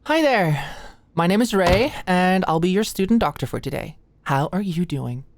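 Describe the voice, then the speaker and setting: Woman acting as nervous young med student girl, lowish voice, super dry close mic, chatty asmr roleplay, awkward and flustered. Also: lively intonation, moderate pace, dry recording. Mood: friendly, professional. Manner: hesitant, chatty, nervous, conversational. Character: anxious young female medical student, friendly, flustered, secretly smitten. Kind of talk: ASMR roleplay, acted casual dialogue.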